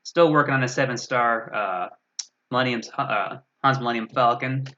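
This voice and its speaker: A man's voice: medium pitch, moderate pace, sharp tone. A man chatting casually as a guest on a gaming stream, wry and self-deprecating. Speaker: guest gamer. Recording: dull, lo-fi voice audio.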